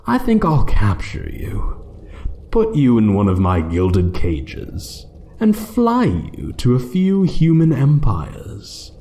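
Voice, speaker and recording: A man's voice: medium pitch, huge pitch swings, slow pace, dark tone. A man playing an ancient, menacing dragon in an ASMR roleplay, taunting and condescending, at times dropping into a whisper. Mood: hungry threat. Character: ancient menacing male dragon toying with a thief. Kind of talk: one-sided ASMR roleplay acting.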